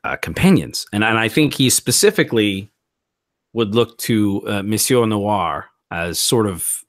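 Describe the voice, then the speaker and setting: A man's voice: lowish voice, expressive, unhurried, no room sound, clean studio sound. A man playing a mystery role-playing game, describing his character's actions in a wordy, slightly formal way with restarted phrases.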